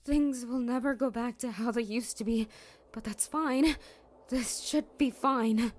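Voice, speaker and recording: A woman's voice: on the high side, measured pace, a little mellow, close to an everyday voice. A woman voicing an earnest, devoted and anxious teenage girl soldier in a fan dub. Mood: resigned, wistful.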